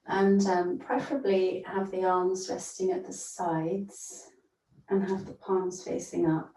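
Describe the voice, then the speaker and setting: Slow, low-voiced woman guiding meditation. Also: little pitch movement, slight background noise. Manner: gentle, soothing, unhurried with long pauses. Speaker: yoga nidra teacher. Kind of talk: guided meditation. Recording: roomy echo, distant from mic.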